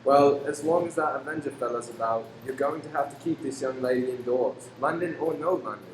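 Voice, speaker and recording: A man's voice: mid-range voice, fairly quick, lightly acted. A man playing a genial, deferential father in a radio play, with a folksy, mildly apologetic way of talking. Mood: concerned. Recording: distant, noisy, echoey room.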